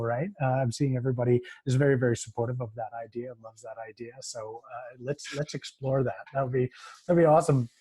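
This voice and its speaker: A man's voice: medium pitch, mellow, breathy edge, no echo, rough recording. A man moderating a video call discussion, enthusiastic and encouraging.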